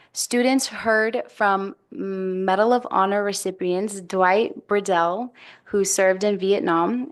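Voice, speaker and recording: A woman reading a prepared report of school events and sports news at a school board meeting. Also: mid-range voice.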